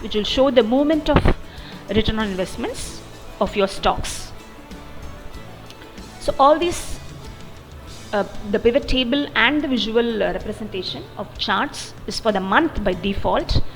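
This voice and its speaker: A woman narrating an explanatory software demo in a methodical, step-by-step way. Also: on the high side, animated, unhurried. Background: background music.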